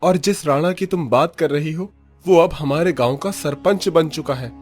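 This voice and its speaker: A man's voice: a little high, normal speed, darkish tone, natural voice. A man voicing a heartbroken young fisherman. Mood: grieving. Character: young fisherman, grieving and angry. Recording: faint background music.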